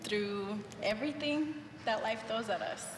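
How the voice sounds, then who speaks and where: A woman's voice: fairly high pitch, a little slow, background noise. Earnest young woman, roomy echo. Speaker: interviewee, dancer's wife. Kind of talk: earnest, casual interview. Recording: echoey hall with clear background ambience.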